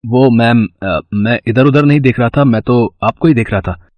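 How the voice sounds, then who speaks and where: A man's voice: medium pitch, normal speed, dry recording, highs cut off, lightly acted. A man voicing an infatuated 21-year-old college student who talks in a hesitant, pleading, fawning way, recorded dry.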